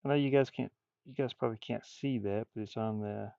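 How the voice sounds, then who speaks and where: A man's voice: lowish voice, steady tone, fairly quick, creaky, mellow, dry recording, highs cut off. A man streaming casually as he draws, muttering and thinking aloud in folksy, informal speech. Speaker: streamer and hobby artist. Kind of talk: casual livestream chat while drawing.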